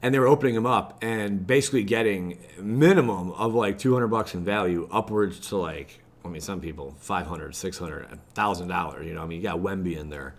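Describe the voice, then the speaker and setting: A man's voice: sits low, a little fast, few pauses. An American man hosting a gaming channel, chatting casually and rambling informally as he reacts to what he reads, with mildly exasperated asides. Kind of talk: casual livestream chat, reacting to web pages.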